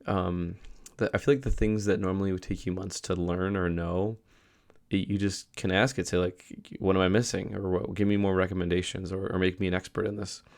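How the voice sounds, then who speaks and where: A man's voice: sits low, flat delivery, a little fast, darkish tone. A young man talking casually in a video diary, losing his voice from a cold and rambling with many fillers and restarts. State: losing his voice, a little sick. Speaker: vlogger.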